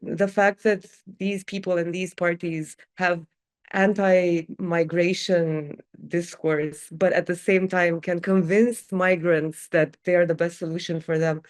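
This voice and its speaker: A woman's voice: lowish voice, flat delivery, unhurried, a little mellow. A woman on a talk show panel, offering earnest political commentary. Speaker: panel guest.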